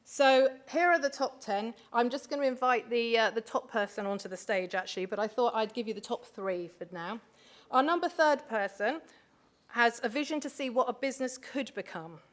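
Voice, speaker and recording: A woman with a fairly high voice presents an awards ceremony from the stage. She talks in a chatty way, with frequent asides and fillers. Her voice comes through a microphone in a large hotel function room. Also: moderate pace. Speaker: awards host.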